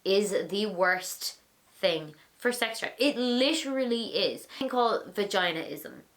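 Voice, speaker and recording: A young Irish woman in her twenties, hosting a vlog and answering viewer questions in a frank, animated, chatty way. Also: mid-range voice, unhurried. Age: 20s. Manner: animated, acts out little voices, frank and chatty. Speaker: vlogger, host of an advice series.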